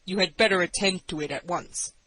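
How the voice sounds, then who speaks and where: A woman's voice: lowish voice, brisk, fairly bright tone, no room sound. Woman acting male officer, curt, official, brusque, storybook character, dry recording. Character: brusque male police officer questioning a suspect.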